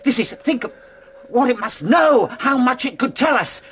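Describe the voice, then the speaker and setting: An actor playing an obsessed, excitable scientist in a radio drama, fervent and pleading. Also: male voice, extremely high pitch, moderate pace, slight background noise. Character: obsessed, excitable male scientist defending his specimen.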